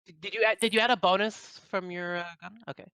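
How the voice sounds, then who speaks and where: Male tabletop player, high-pitched voice, casual chat, chipping in with rules help. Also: fairly even intonation, fast talker, bright, forceful tone, smooth, no room sound. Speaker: player helping others with game rules.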